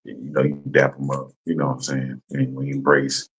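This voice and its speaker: Man, very low husky voice, laid-back explainer. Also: completely flat delivery, fairly quick, fairly bright tone, low-quality recording. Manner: laid-back, constantly asks "you know what I'm saying". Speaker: class member explaining greetings. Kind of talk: casual chat.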